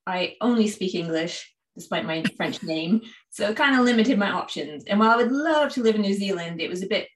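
A woman's voice: mid-range voice, lively intonation, brisk, few pauses. A woman from Canada with a Canadian accent, a podcast guest telling stories in a casual, chatty, self-deprecating way, in an echoey room. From Halifax, Canada. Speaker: podcast guest, cycling shop owner. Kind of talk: casual conversational storytelling.

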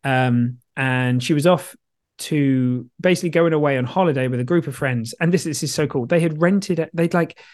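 A man's voice: fairly high pitch, moderate pace, darkish tone. Rambling, chatty male podcast host. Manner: rambling, chatty, self-deprecating. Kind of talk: casual podcast chat.

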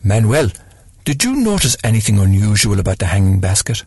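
Man voicing a wealthy woman, sharp, commanding, husky, gravelly, storybook. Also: on the low side, sing-song, fairly quick, no room sound, full character voice. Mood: curt. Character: Madeline, curt wealthy woman giving orders.

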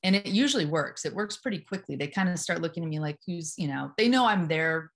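A woman with a naturally low voice, speaking calmly and deliberately as a hypnotherapist guest in a casual interview. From Memphis, US South. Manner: calm, deliberate, steady delivery. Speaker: hypnotherapist at a psych hospital, guest.

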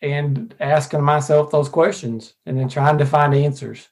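Arkansas-accented man, 50s, podcast guest, slightly higher voice, measured and reflective. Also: steady tone, a little mellow. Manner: thoughtful and measured, with frequent pauses.

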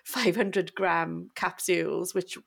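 A woman's voice: on the low side, fairly even intonation, slow, gravelly, husky. A young woman doctor co-hosting a podcast, chatting casually with frequent laughs and restarts. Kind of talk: casual chat.

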